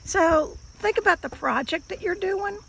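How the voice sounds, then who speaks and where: A hoarse woman hosting a homesteading vlog, chatting casually and explaining tips to viewers while working outdoors. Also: extremely high pitch, a little slow, a bit bright, slight background noise, poor audio. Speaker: homesteading channel host.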